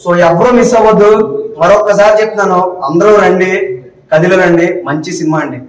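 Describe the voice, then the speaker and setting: A man giving an excited, emphatic film review to a public interviewer, often shouting and breaking into rhyming slogans. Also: sits high, fairly quick. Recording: echoey, noisy, distant from mic.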